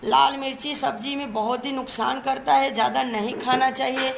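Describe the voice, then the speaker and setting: A woman's voice: high-pitched, steady tone, normal speed, creaky, background noise. A woman, hosting a cooking channel and chatting through each step while she shows her ingredients in a home kitchen. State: hoarse from a cold.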